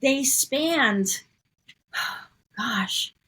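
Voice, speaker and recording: A middle-aged woman from New York, a podcast guest chatting casually and warmly, rambling a bit and laughing often. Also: sits high, lively intonation, extremely slow, slightly rough. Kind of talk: casual interview chat.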